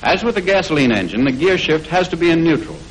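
High-pitched 1940s American training film narrator, male. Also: big pitch swings, quick pace. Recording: noisy old 1940s film soundtrack.

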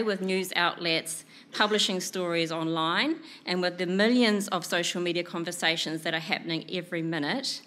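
A woman in her thirties with a New Zealand accent, a PR consultant giving a prepared presentation at a conference, speaking clearly and steadily from notes into a lectern microphone. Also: lowish voice, bright, forceful tone, slight background noise. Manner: clear, measured delivery from notes. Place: conference room, indoors.

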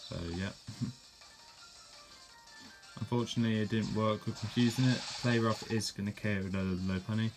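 A man giving a casual, relaxed play-by-play of his own game battle, correcting himself as he goes. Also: on the low side, moderate pace, pauses often, over music. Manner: relaxed, plain narration with fillers and self-corrections. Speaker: gameplay commentator.

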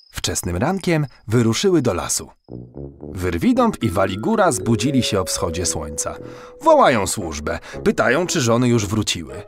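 A man narrating a fairy tale for children in an animated, theatrical way. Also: on the low side. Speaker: storyteller.

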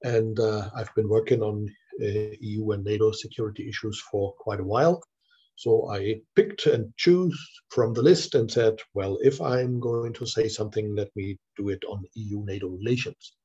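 An older man from Germany talks through a laptop webcam in a small office. His voice is low, dark and husky, and he gives an informal academic talk with frequent hesitations. The call audio sounds dull and muffled. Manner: halting, many filler sounds. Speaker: visiting scholar. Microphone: laptop webcam, video call.

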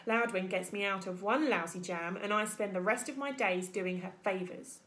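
A woman voicing a stubborn, gruff adult male beaver in a children's storybook, blustering and grudging. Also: mid-range voice, moderate pace, a bit bright, roomy sound, lightly acted, low-quality recording. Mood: grumbling.

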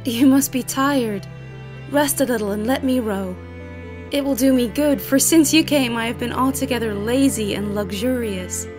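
A woman's voice: on the high side, moderate pace, over music, close to an everyday voice. An actress playing an affectionate, genteel young woman in love in a period audio drama. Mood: tender. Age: young adult.

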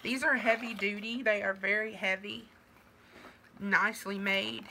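A woman's voice: medium pitch, fairly even intonation, measured pace, a little hiss or hum. Middle-aged woman, fading voice. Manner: gushing, warm thanks with fillers. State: losing her voice from a sinus infection. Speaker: vlogger. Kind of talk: casual mail-opening vlog. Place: living room at home.

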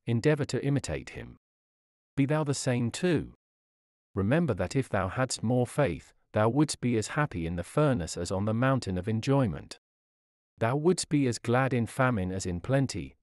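A man reading an old sermon aloud, with a solemn, measured delivery and earnest exhortations. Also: medium pitch, darkish tone, dry recording, clean studio sound. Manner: earnest exhortation with rhetorical addresses. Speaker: reader of a historical sermon. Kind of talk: read sermon narration.

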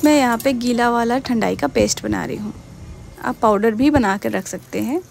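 A woman's voice: on the high side, normal speed, creaky, a little mellow, slight background noise. A young woman casually narrating her home cooking in a voice-over, chatty and mixing in English words. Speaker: cooking vlogger.

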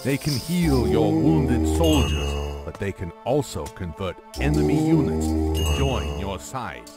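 Guy narrating a game, takes his time, lively pitch, loud music all over it. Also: takes it slowly, creaky. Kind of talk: scripted narration.

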